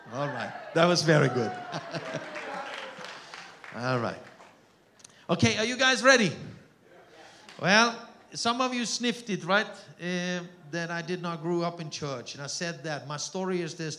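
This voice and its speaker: A man's voice: a little high, a bit bright. A middle-aged man with an Austrian German accent, preaching as a guest missionary in a church, joking with and calling out to the crowd. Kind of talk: sermon. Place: church hall.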